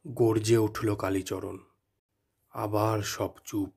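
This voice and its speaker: A man narrating a scripted horror story in a measured, dramatic, suspenseful manner. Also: fairly low pitch, flat delivery, gravelly, heavy background noise.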